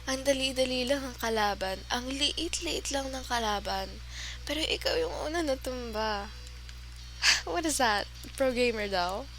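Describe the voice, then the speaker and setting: Casting: woman as teasing, affectionate gamer girlfriend, Filipino accent, slow delivery, ASMR roleplay with noticeable background noise. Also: sits high, fairly bright tone. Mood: playful mocking. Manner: teasing, giggly, sighing, mixes English into Tagalog.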